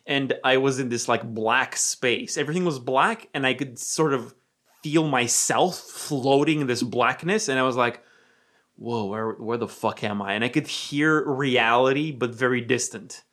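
A young man from Romania with an American accent, telling a personal story in casual chat. He talks animatedly, swears freely and drops to a low murmur when quoting his own thoughts. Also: a little slow, fairly bright tone.

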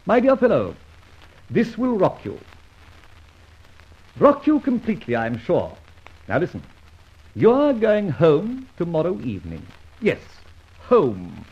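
An actor playing a suave, vengeful gentleman adventurer and ex-officer, speaking with theatrical, mocking irony in an old radio drama. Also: high voice, huge pitch swings, slow, pauses often, slightly rough, heavily acted. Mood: triumphant. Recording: muffled old radio recording.

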